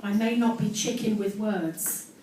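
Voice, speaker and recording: A woman's voice: medium pitch, steady tone, unhurried. A woman giving a live comic reading from her own teenage diary, acting out the drama with amused asides. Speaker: storyteller on stage. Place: live storytelling event venue with audience. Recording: very echoey, noisy live venue, distant mic.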